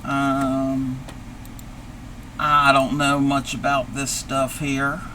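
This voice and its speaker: A man's voice: mid-range voice, monotone, extremely slow, sharp tone, a little hiss or hum, low-quality recording. A man voicing a folksy, befuddled old grandmother who is confused by technology, talking with old-fashioned exclamations on a live stream. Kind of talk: live stream character play.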